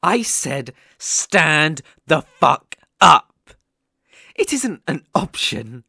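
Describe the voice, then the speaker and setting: A slow, gravelly male yandere kidnapper, acted out by a man in an intimate ASMR roleplay. He is possessive and sadistic, taunting his captive with mock sweetness and menace, recorded close and dry. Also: a little high, sing-song, sharp tone, dry recording, exaggerated voice. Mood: furious.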